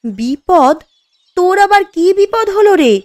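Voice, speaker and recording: An actress playing a caring, sensible young village wife in a cartoon story, earnest and chatty. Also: female voice, very high voice, big pitch swings, a little slow, a little mellow, heavily acted. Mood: concerned. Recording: music under the voice.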